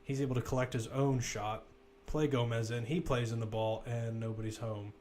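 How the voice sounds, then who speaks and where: A man's voice: mid-range voice, flat delivery, normal speed, a little mellow, slight background noise. A man giving casual, conversational live play-by-play commentary on a football match, with wry asides.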